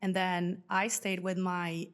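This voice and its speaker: A woman's voice: lowish voice, flat delivery, takes it slowly, smooth. Chatty woman. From Venezuela. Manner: chatty, informal, acts out remembered dialogue. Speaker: podcast guest, financial professional. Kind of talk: casual personal storytelling.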